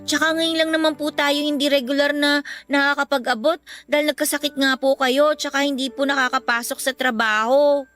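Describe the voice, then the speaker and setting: A high, youthful voice as a respectful Filipino child in a radio drama. The child is caring and earnest, gently reassuring a parent, with faint music underneath. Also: female voice, steady tone, fairly quick. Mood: concerned, reassuring. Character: caring, earnest school-age child comforting worried mother.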